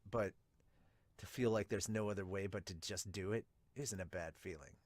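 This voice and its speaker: Guy doing a low quiet teen gamer boy from a manga, subdued and kinda reluctant. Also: moderate pace, no room sound. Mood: subdued, listless. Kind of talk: manga dub character reading.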